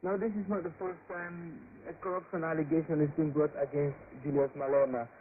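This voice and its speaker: A man's voice: fairly high pitch, flat delivery, a little fast. A man asking questions as an interviewer in a formal way. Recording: tinny radio with faint hiss.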